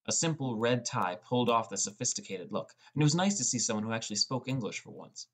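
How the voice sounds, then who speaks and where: A man's voice: medium pitch, expressive, quick pace. A man reading scripted first-person story narration as an audiobook narrator.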